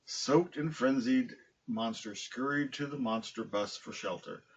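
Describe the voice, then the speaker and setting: Male storybook reader, husky mid-pitched voice, slightly dull home recording. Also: a little slow. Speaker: storybook reader, dog owner. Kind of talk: reading a children's picture book aloud.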